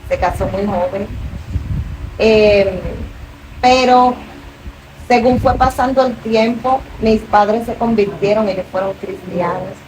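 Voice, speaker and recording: A woman's voice: medium pitch, slow pace, darkish tone. A middle-aged woman from the Dominican Republic with a Dominican accent, a minister telling her faith testimony in an interview with earnest, rising emotion. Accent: Dominican Spanish. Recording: distant mic, noisy room.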